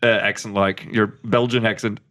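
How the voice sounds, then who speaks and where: A man co-hosting a comedy podcast, tossing out crude deadpan jokes. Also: fairly low pitch, normal speed. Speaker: podcast co-host. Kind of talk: casual comedy banter.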